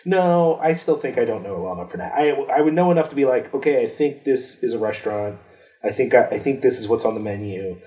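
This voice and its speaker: A man's voice: mid-range voice, expressive, fast, warm tone. Rambling self-deprecating man on a podcast. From United States. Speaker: podcast co-host. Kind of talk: casual banter.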